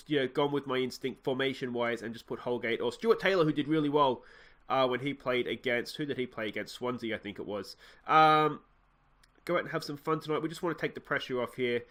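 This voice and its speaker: A man's voice: mid-range voice, a little fast. An Australian man with a slightly nasal voice from a cold, casually talking through his gameplay, rambling and often correcting himself. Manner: rambling, self-correcting, excitable at goals. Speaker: let's play host.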